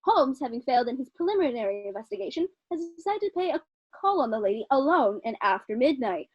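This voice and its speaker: Lady announcer narrating a radio drama, high pitched, dry slightly muffled audio. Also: very animated, fast, no echo. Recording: slightly dull, lo-fi sound.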